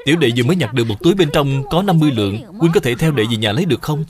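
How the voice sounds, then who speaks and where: A man's voice: mid-range voice, expressive, racing, darkish tone, natural voice. A man voicing a polite, earnest young boy with formal courtesy in an educational cartoon. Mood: earnest, respectful.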